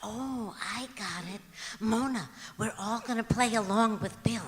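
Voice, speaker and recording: A woman's voice: a little high, measured pace, close to an everyday voice. A woman playing a sweet, scatterbrained housewife with guileless, chirpy delivery in an old-time radio comedy on stage. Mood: cheerfully innocent. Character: Gracie, sweet scatterbrained housewife, cheerfully illogical. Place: stage of a performing arts auditorium. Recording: echoey auditorium with long reverb.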